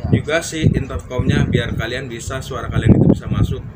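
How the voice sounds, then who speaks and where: A man's voice: mid-range voice, fairly quick. A man, hosting a motovlog and explaining things in casual chat. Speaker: motovlog channel host. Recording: loud vehicle noise behind voice.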